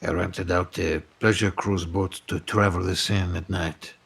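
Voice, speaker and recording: A man's voice: deep voice, flat delivery, unhurried, creaky, a bit bright, breathy edge, heavily acted. A man playing a wealthy, pompous French film producer, lovesick and vengeful, telling his story in florid, melodramatic audio drama. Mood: smitten, grandiose. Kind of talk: dramatic first-person storytelling in audio fiction.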